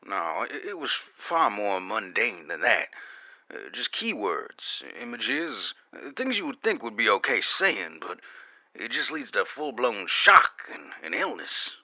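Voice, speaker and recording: A guarded corporate security officer played by a man gives testimony in an audio drama. His voice is very bright and forceful, with lively swings in pitch. He explains hesitantly, then lands pointed emphasis, all in a dry, close recording. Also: unhurried, dry recording, full character voice. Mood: uneasy, explaining.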